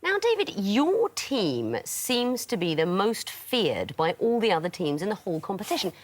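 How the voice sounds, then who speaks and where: A woman's voice: medium pitch, very animated, normal speed. A woman hosting a studio quiz show, delivering scripted lines with a dry, teasing edge. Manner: arch, teasing, crisp delivery. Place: television studio.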